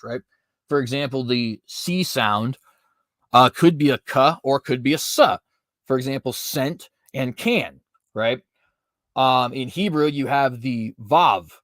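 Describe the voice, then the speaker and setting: A man's voice: mid-range voice, fairly bright tone, no echo. A man hosting a podcast, reading prepared commentary aloud with frequent fillers and self-corrections. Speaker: podcast host. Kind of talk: apologetics commentary reading notes.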